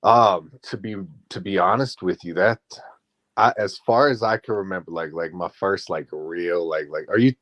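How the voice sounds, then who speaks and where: A man being interviewed about his music, talking in a relaxed, laid-back way. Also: deep voice, normal speed, dry recording. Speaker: guest musician. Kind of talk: casual interview chat.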